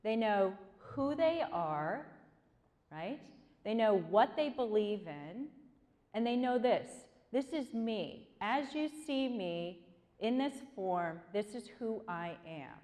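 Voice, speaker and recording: A woman in her forties speaks to a room of students with a chatty, conversational manner, often turning to them with questions. Her voice sits at a middle pitch. The sound carries the long reverb of a large hall. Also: animated, slow, darkish tone. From Korea. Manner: chatty, asks the audience questions. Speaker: guest speaker. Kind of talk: motivational talk to students.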